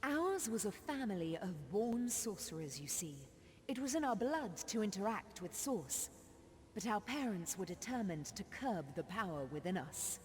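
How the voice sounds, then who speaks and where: A woman's voice: mid-range voice, very animated, slight background noise, lightly acted. Solemn witch played by a woman in long reverb. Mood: proud, solemn. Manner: measured, solemn, formal storytelling. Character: sorrowful witch woman, a proud, confessional forest sorceress. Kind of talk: dramatic fantasy video game monologue. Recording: long reverb on game dialogue.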